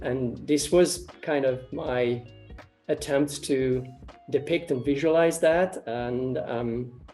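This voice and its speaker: A man's voice: medium pitch, mellow. A man from Romania, likely in his thirties, answering interview questions in a hesitant way, with many fillers and restarted phrases. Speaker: interviewee, artist and ecology researcher.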